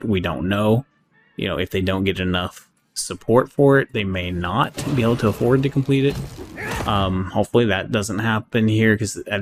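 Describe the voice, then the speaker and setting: A man giving a casual game review, rambling and thinking aloud as he goes, with an easy readiness to chuckle. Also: on the low side. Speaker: gaming channel host. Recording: clearly audible background noise.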